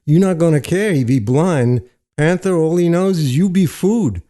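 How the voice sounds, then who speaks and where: A man's voice: on the high side, moderate pace, dark tone, pitched above the performer's own voice, lightly acted. A man narrating audio fiction and voicing a wry, knowing male prison inmate with colloquial street phrasing. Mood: teasing, insistent.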